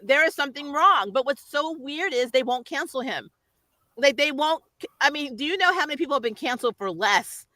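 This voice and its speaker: A woman hosting a casual chat, speaking in an animated, opinionated way and laughing readily. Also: sits high, fairly quick, dry recording. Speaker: host.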